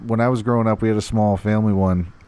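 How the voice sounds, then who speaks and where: A man with a lowish voice co-hosts a casual podcast. He speaks loudly and rambles, filling his talk with "you know" and restarting his sentences. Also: fairly even intonation, fast talker, clear, resonant tone. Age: young adult or middle-aged, parent of a nine-year-old. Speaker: podcast co-host. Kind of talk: casual chat.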